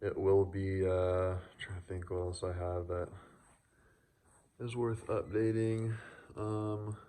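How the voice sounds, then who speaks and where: Very low-voiced man, casual and crude. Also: level tone, takes it slowly, dark tone. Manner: crude, swearing, joking, offhand. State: tired. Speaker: vlogger showing truck upgrades. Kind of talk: casual unscripted walkaround vlog.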